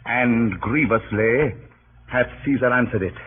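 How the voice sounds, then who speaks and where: An actor playing a grieving, cunning Roman orator rousing a mob in declamatory, deliberate 1930s radio Shakespeare style. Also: male voice, medium pitch, close to an everyday voice. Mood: solemn, measured. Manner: declamatory, deliberate, swinging from hushed to impassioned. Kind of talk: 1930s radio Shakespeare acting, funeral oration. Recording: scratchy, tinny 1930s radio broadcast.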